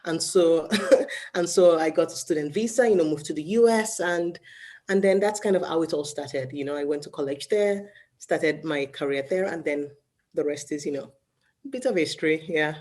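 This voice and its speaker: Relaxed female guest from Nigeria, deep and warm tone, loose conversational delivery. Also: moderate pace. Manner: relaxed, fills with "you know". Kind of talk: casual interview answers.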